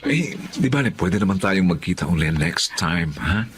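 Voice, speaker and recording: A low-voiced smooth Filipino playboy DJ. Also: male voice, lively intonation, moderate pace. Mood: hesitant, placating. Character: smooth-talking, vain young male DJ playboy. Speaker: radio drama actor. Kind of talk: Filipino radio drama acting. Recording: noticeable background noise.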